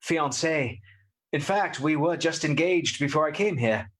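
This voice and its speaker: An actor playing a polite, earnest young gentleman in a radio play, speaking precisely and formally. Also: on the high side, a little slow. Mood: pleased, polite. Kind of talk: radio play acting with diary narration.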